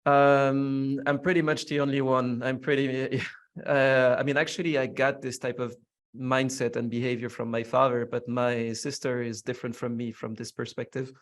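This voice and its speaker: Flat-voiced French man, reflective. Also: mid-range voice, moderate pace. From France. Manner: reflective, curious, frequent fillers. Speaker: podcast guest. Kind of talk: casual interview answers.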